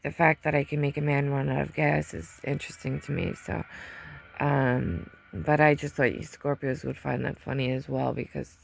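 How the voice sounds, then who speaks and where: A woman's voice: extremely low pitch, steady tone, moderate pace, creaky. A woman past her twenties giving a casual monthly tarot reading, chatty and rambling, laughing often as she tells stories. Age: 30s or older. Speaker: tarot reader.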